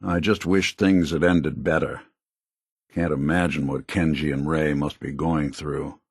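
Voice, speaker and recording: A man voicing a wry, kindly, world-weary android private detective in a video game, with a gravelly, husky voice and a laconic hardboiled delivery. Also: extremely low pitch, steady tone, unhurried, no room sound, studio quality, heavily acted. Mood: somber, reflective. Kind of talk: video game character dialogue.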